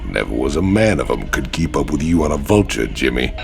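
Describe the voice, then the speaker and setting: An actor playing a rowdy, hulking outlaw in a video game, with a gravelly voice and a Southern American drawl. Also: male voice, big pitch swings, brisk, exaggerated voice. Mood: amused, nostalgic. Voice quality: gravelly, very deep. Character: rowdy, hulking older male outlaw, nostalgic. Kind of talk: cinematic game voice acting.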